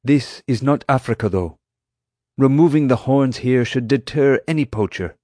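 A man's voice: medium pitch, moderate pace, a little mellow, no room sound, clean studio sound, pitched above the performer's own voice, lightly acted. A man voicing an earnest, courteous male park ranger who argues politely for the animals.

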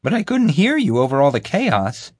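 Man putting on a high, excitable bumbling guard. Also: moderate pace, husky, no room sound, heavily acted. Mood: proud. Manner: boastful, oblivious, excitable. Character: bumbling, proud young male security guard. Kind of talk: audiobook character voice.

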